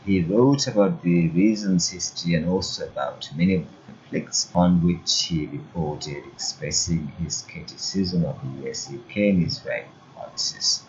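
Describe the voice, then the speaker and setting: A man narrating a scripted news report in a steady read-aloud delivery. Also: low-pitched. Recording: dull lo-fi audio.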